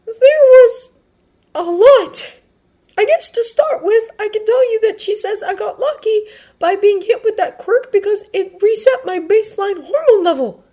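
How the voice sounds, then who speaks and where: A woman voicing an anxious, earnest teenage boy in an audiobook, halting and emphatic. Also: very high voice, animated, mellow, voice pushed up. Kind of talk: audiobook character voice.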